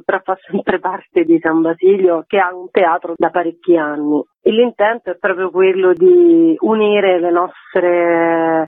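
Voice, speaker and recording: A woman's voice: fairly low pitch, dry recording. A woman speaking as a guest festival curator in a radio interview, explaining her project. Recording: tinny phone line on radio.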